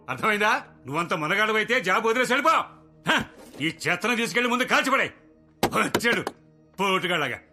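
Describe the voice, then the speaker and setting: A man's voice: sits high, sharp tone, full character voice. An actor playing a scornful, threatening TV channel boss who berates and mocks his reporter. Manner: berating, mocking.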